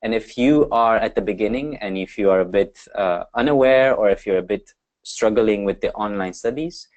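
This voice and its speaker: A man's voice: lowish voice, moderate pace, darkish tone, dull audio. A man moderating an online webinar panel, upbeat and filler-heavy, speaking over a video call. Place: Amsterdam.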